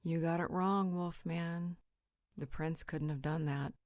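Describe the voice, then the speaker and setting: A woman's voice: deep voice, steady tone, a little slow, a little mellow, no room sound, studio quality. A woman playing a perceptive, frank confidante in an audio roleplay, reasoning in a direct, insistent way.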